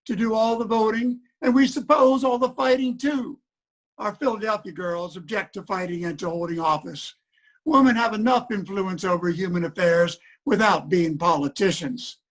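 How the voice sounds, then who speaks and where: A man's voice: high-pitched, a bit bright, low-quality recording. A man playing a pompous, disapproving nineteenth-century newspaper writer in a declamatory stage reading. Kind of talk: reader's theater recitation.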